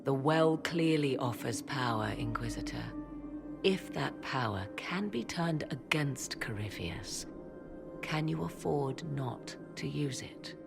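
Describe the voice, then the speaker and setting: Fantasy game advisor: female, shrewd, scornful, imperious formality, low yet highly expressive, over music. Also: slow pace, creaky, full character voice. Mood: persuasive, skeptical. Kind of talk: dramatic fantasy game acting.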